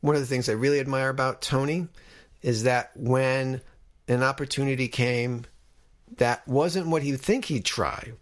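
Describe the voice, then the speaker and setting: A man's voice: mid-range voice, steady tone, normal speed. Middle-aged man hosting a casual podcast. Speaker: podcast host. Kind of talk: casual reflective podcast talk.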